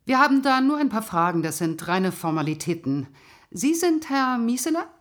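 A woman's voice: a little high, very animated, moderate pace. An actress playing a stern female police detective in an audio drama, confrontational, sarcastic and indignant as she interrogates. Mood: formal, probing.

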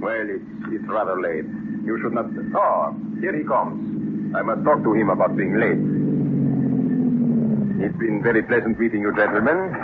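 A man acts a mysterious gentleman stranger, sinister beneath formal courtesy. The vintage radio horror recording is thin and narrow, with heavy noise throughout. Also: on the low side, animated, normal speed, pauses often, lightly acted. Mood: courteous, ominous. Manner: formal, overly polite. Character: sinister, courteous, mysterious male newcomer with beastly secret. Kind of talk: 1940s-50s radio horror acting.

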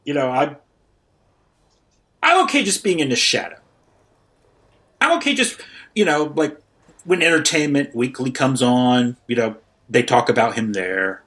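A man's voice: mid-range voice, very animated, measured pace, long pauses, fairly bright tone. A man playing a starstruck, self-effacing student and devoted fan, earnest and repetitive in an improvised comedy sketch. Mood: contented, meek.

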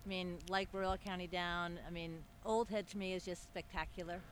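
A woman's voice: on the low side, little pitch movement, moderate pace, a little hiss or hum. A woman, a guest on a golf podcast, talking in an easygoing, encouraging way. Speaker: guest, avid golfer. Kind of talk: casual interview answers.

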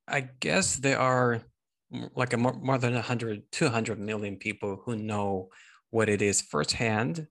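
A man's voice: medium pitch, creaky, a bit bright. A man hosting a podcast, introducing and interviewing a guest. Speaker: podcast host.

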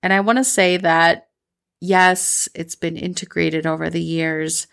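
A woman's voice: sits low, creaky, smooth. A woman in her thirties, born in Colombia, hosting a podcast and telling personal stories in a reflective, chatty way. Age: 30s. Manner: warm, reflective, chatty with fillers. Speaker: podcast host. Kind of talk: personal storytelling monologue.

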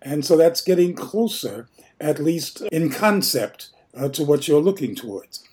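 A man co-hosting a radio show, putting points to a guest in a measured, deliberate way. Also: a little high, lively intonation, darkish tone, husky. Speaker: radio co-host. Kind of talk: interview question.

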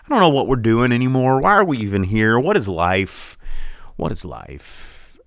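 A man's voice: mid-range voice, moderate pace, gravelly, no echo, clean studio sound. A man hosting a podcast, ranting casually about movies with lots of restarts and stumbles. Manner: rambling, animated rants with many restarts. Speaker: podcast host. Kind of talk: casual solo movie commentary.

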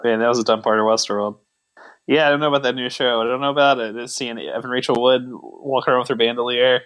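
A man's voice: medium pitch, fairly even intonation, quick pace, a bit bright. Man bantering casually on a podcast. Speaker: podcast co-host.

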